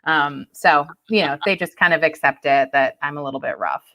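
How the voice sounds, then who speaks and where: Low-voiced woman on a video call. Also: brisk, bright, forceful tone. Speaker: guest, actress. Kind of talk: casual video-call chat.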